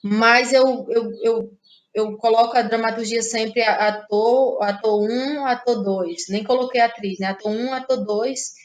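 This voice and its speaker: A woman from Ceará, Brazil, a playwright and actress, talking through her creative process in a relaxed interview, thinking aloud with frequent restarts. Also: a little high, low-quality recording. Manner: thoughtful, halting, many restarts and fillers. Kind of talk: casual interview conversation.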